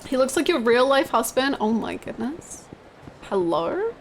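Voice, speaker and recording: A woman in her twenties chatting casually as she livestreams a video game, with an expressive, giggly, exclamatory way of talking. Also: high-pitched, a little slow, a little hiss or hum. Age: 20s. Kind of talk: casual livestream chat over gameplay, reading out comments. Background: video game music.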